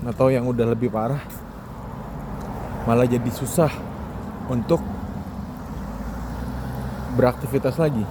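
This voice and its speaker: A man around thirty, rambling casually in a conversational solo monologue full of rhetorical questions. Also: medium pitch. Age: 20s or 30s. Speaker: solo podcast host. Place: outdoors, walking along a street. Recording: outdoor urban noise, passing vehicles. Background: clear traffic and ambient noise.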